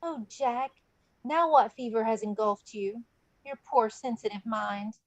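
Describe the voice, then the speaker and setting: A woman voicing a gentle young woman who frets tenderly over her beloved in a script table read. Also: on the high side, a little slow, dry recording. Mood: worried, tender.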